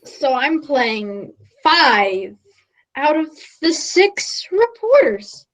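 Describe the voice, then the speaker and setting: A woman's voice: high-pitched, sing-song, heavily acted, low-quality recording. A woman playing an anxious, overworked actress in a stage comedy, hesitant and drawing out her words. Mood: hesitant dismay. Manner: hesitant, drawn-out.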